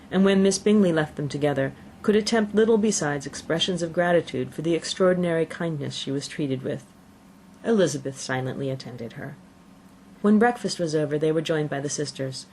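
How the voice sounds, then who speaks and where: A woman's voice: low-pitched, darkish tone, slight background noise, rough recording. A woman narrating a classic novel as an audiobook, reading from the text.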